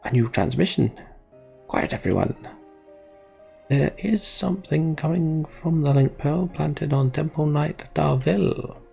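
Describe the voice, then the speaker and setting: A dutiful military engineer in a fantasy game, played by a man, reports in a very creaky voice over music. The delivery is formal and earnest. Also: a little high, expressive, unhurried, warm tone, exaggerated voice. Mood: urgent.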